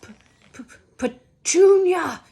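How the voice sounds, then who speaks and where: Woman voicing a loud, bullying middle-aged uncle. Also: extremely high pitch, lively intonation, slow pace, pitched above the performer's own voice, heavily acted. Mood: shocked, angry. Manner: barking, sneering.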